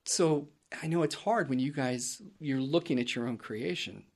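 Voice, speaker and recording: A man's voice: medium pitch, moderate pace, a little mellow. A man hosting a casual interview who rambles and restarts his questions. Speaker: host.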